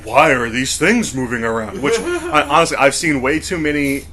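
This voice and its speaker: A man with a bright, forward voice at an average pitch. He talks casually as a co-host in a group discussion, meandering and hesitating as he works through his thoughts. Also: big pitch swings, moderate pace, slight background noise. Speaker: podcast co-host.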